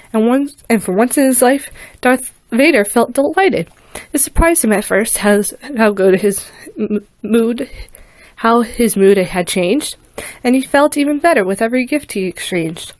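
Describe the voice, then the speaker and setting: Amateur woman reader, slightly high voice, rhyming story, dry home sound. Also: darkish tone, dry recording. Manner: stumbles and restarts on words. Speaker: fanfiction reader. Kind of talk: reading rhyming verse aloud.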